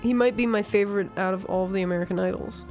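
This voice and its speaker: A woman's voice: medium pitch, racing, darkish tone. A woman co-hosting a casual chat show, chatty and teasing, full of pop-music trivia. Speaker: co-host.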